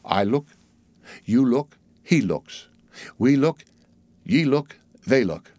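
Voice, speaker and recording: A man's voice: medium pitch, expressive, takes it slowly, darkish tone, no room sound. A man voicing a crazed ship's boy who chants eerie nonsense in a repetitive singsong, in a storybook reading. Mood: dazed chanting.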